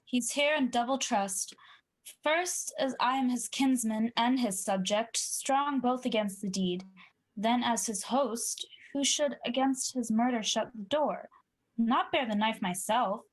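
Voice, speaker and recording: A guilt-ridden wavering lord. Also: female voice, a little high, measured pace, poor audio. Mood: brooding. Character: wavering guilt-ridden male nobleman, a murderer. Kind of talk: 1940s radio acting.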